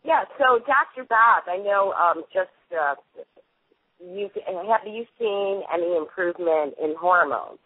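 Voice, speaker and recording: A man's voice: high-pitched, animated, unhurried, breathy edge. A man hosting a conference call, casually putting questions to a guest. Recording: muffled, narrow conference call line.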